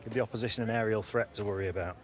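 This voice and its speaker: A man playing a gruff, teasing middle-aged football coach in a video game. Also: fairly low pitch, steady tone, rapid-fire, slight background noise, natural voice. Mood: firm, instructive. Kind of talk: video game cutscene dialogue.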